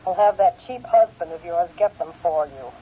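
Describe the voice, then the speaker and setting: An actress playing a brusque, skeptical, sarcastic older sister, heard through a telephone filter in a radio drama. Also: female voice, fairly low pitch, animated, normal speed, husky. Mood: scornful, irritated. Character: sharp-tongued, skeptical, irritable older sister. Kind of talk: old-time radio drama acting. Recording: thin, narrow telephone-filtered radio sound.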